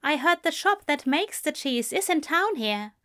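A woman voicing a prim, polite gentleman in a lilting voice, raised well above her narration. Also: very animated, moderate pace, full character voice. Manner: prim, lilting, higher and brighter.

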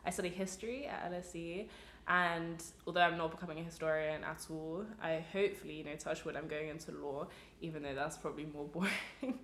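A woman's voice: low-pitched, brisk, few pauses, a bit bright, echoey room. A young woman from England co-hosting a podcast, chatting casually and joking with lots of laughter. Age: young adult. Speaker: co-host. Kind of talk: casual chat.

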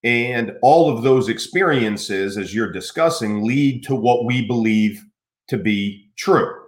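A man's voice: medium pitch, slow, reverberant. A middle-aged American man hosting a podcast, talking earnestly in a casual interview with many restarts. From United States. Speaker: podcast host.

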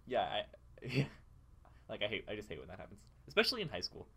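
Young guy on a podcast, lowish voice, earnest, explaining himself in casual chat. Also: huge pitch swings, fast talker, gravelly, pushed and bright, slightly rough. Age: young adult. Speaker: podcast co-host.

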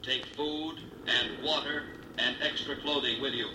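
An actor playing a stern military announcer giving formal, clipped orders in a 1950s radio drama. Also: male voice, mid-range voice, fairly even intonation, unhurried, natural voice. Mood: grave, official. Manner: formal, clipped public-address delivery. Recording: noisy, echoing 1950s radio broadcast recording.

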